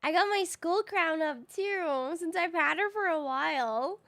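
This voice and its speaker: A woman's voice: very high voice, animated, measured pace, fairly bright tone. A woman live streaming a game in casual, slangy chat, her voice a little groggy from having recently woken up. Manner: slangy, informal.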